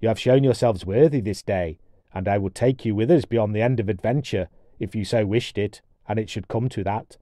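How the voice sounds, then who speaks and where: A man's voice: lowish voice, normal speed, warm tone, no echo, studio quality, close to an everyday voice. A man voicing a proud, talkative dwarf companion who speaks in formal, old-fashioned phrases, in a storybook tale. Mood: hearty, approving. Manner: wordy, formal archaic speech.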